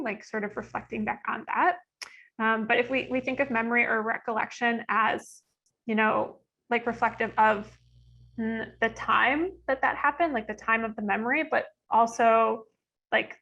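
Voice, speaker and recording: Female moderator, forward bright tone with creak. Informal, tentative, checking in with listeners, slightly lo-fi online recording. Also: a little high. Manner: tentative, circling phrasing with checking "right?". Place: online video call.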